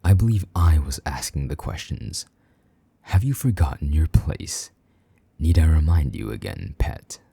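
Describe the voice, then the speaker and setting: Man acting a very deep, dark-voiced possessive vampire knight. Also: animated, no echo, full character voice. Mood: commanding. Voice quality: husky, breathy, half-whispered at times. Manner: condescending, slow-burning menace, mock-sweet endearments. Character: possessive, jealous male vampire knight, haughty and menacing. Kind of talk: intimate audio roleplay acting.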